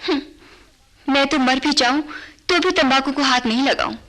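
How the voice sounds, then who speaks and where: An actress playing an anxious young wife and concerned daughter-in-law in a radio drama, speaking hesitantly. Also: female voice, high voice, moderate pace, a bit bright. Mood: pensive. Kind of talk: Hindi radio drama acting.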